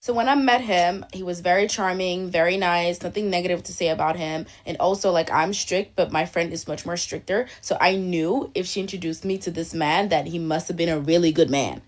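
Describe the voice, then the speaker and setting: A woman's voice: lowish voice, steady tone, brisk, few pauses. Woman from Denmark, gossipy storytime. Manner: candid, gossipy, emphatic. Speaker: storyteller in a played clip. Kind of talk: casual storytime.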